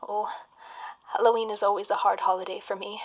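A woman's voice: mid-range voice, level tone, a little fast, dull audio, close to an everyday voice. A woman playing an eerie, whispering caller. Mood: haunted. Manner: hushed, creepy, slipping into whispers. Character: haunted woman caller, eerie and quietly unsettling. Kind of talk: audio drama acting.

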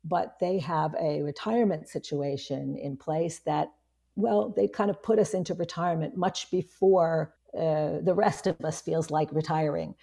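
A woman in her fifties with a hoarse voice, a guest chatting casually in an interview, rambling with many restarts. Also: low voice, measured pace, mellow. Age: 50s. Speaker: guest, online marketing strategist.

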